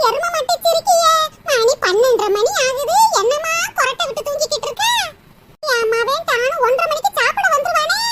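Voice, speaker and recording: A woman's voice: extremely high pitch, fairly bright tone. A woman playing an older, sarcastic, nagging but doting village mother-in-law in a family comedy skit, with a Tamil Nadu village accent. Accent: Tamil Nadu village dialect. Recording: clearly noisy recording with clicks.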